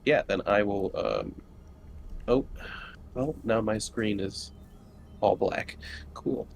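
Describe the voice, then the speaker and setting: A man with a slightly low voice plays a tabletop game over an online call. He talks casually and hesitantly, working out his next move aloud, with faint noise in the background. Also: slow, vocal fry, darkish tone. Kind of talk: casual chat during a game. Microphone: online group call.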